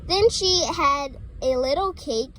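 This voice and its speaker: High female reader of silly children's verse, very unhurried, stumbling delivery, faint background noise. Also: a bit bright. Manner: halting, stumbles over words. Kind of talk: reading playful rhymes and tongue twisters aloud.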